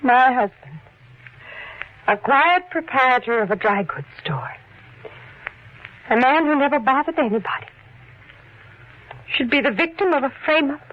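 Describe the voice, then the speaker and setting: Bitter, protective shopkeeper's wife, pointed and dramatic, lively pitch, vintage radio drama with muffled sound. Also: female voice, a little slow, pauses often, slight background noise. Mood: bitter, grave. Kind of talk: 1940s radio acting. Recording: dull lo-fi 1940s radio recording.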